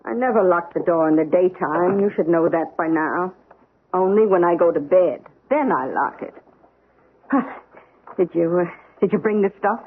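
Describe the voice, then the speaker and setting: A woman's voice: on the low side, a little slow, slight background noise, close to an everyday voice. A woman playing a petulant, demanding, reclusive old mother in a 1970s radio drama, querulous and insistent. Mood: grumpy, impatient. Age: older. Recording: muffled old radio broadcast.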